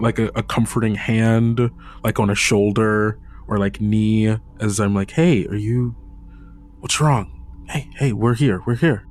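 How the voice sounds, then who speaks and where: A man's voice: mid-range voice, a little mellow. A man playing a tabletop game, hesitant, full of restarts and filler words. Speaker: player. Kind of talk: tabletop roleplay chat.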